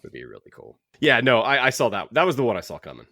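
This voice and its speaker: A man's voice: mid-range voice, wildly swooping pitch, quick pace, pushed and bright, no room sound. A man co-hosting a podcast, chatting casually and enthusiastically about books. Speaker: podcast co-host. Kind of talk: casual book club podcast chat.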